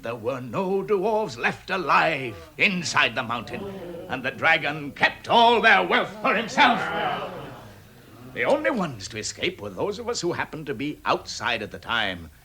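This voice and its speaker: An actor playing a proud, grave dwarf leader, grand and declamatory in a vintage radio drama. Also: male voice, a little high, sing-song, measured pace, bright, forceful tone, full character voice. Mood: grave, dramatic. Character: proud, grave dwarf leader telling his lost history. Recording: vintage 1960s radio recording.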